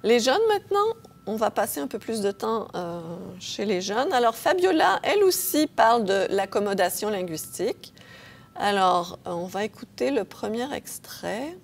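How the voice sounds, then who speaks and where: A woman's voice: fairly high pitch, big pitch swings, moderate pace, vocal fry, slight background noise. A woman professor giving an academic lecture, explaining patiently with frequent hesitations and checks of her audience. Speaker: linguistics professor.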